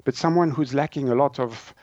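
A man's voice: medium pitch, fairly quick, a little mellow, no echo, muffled recording. A man from Switzerland with a Swiss French accent, explaining things as an interview guest in his second language, often searching for words.

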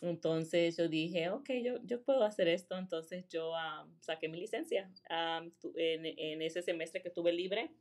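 A woman from Rhode Island, a real estate agent, chatting in a relaxed interview and dropping English fillers between her sentences. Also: lowish voice, unhurried, hardly any pauses. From Rhode Island, USA. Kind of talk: casual interview.

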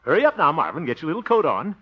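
A man's voice: on the high side, wildly swooping pitch, rapid-fire, husky, full character voice. An actor playing a fussy, proud, blustering father in a hearty 1950s radio comedy style. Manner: hearty, fussing, blustering, chuckling. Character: blustery, fussy, proud adoptive father, jolly small-town homemaker. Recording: dull, hissy old radio recording.

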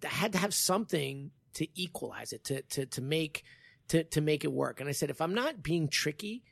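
Gravelly-voiced man in his forties. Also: on the high side, lively intonation, normal speed, no room sound. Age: 40s. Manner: rambling, many restarts, modest. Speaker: podcast host and jiu-jitsu coach. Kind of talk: casual conversation.

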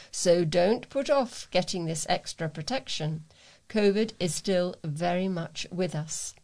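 A woman reads local news aloud as a volunteer reader. Her pitch sits in the middle range for a woman, and she delivers written items carefully. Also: darkish tone. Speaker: volunteer newsreader.

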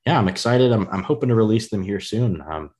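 Man, rural American accent, low voice, easygoing podcast host, casual chat. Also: expressive, a little fast, darkish tone. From United States. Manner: easygoing, repeats words.

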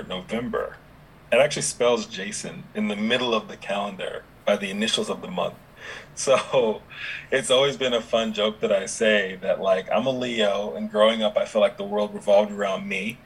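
Easygoing young guy on a podcast, lowish voice, no accent, says um a lot, bit of hiss behind. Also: poor audio. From Dominica, raised in Houston, Texas. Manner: relaxed, chatty, many fillers and laughs. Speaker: podcast guest, app founder. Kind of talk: casual interview conversation.

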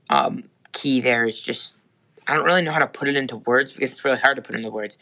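Bright-voiced, slightly hoarse man. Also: mid-range voice. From United States. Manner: rambling, lots of restarts and "um"s. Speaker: podcast co-host. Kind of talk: casual faith podcast chat.

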